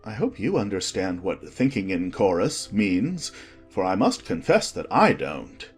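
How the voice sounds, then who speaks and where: Animated male storybook narrator. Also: low voice, unhurried, soft background music. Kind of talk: expressive scripted storybook narration.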